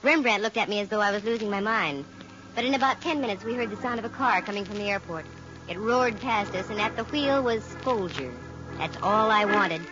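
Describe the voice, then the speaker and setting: A brisk, quick-witted lady detective acted by a woman in an old radio serial. Her pitch is middling, and the muffled recording has music behind it. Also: close to an everyday voice. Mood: wry, narrating. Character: sharp-witted, brisk young female private detective. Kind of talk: 1940s radio acting, first-person narration.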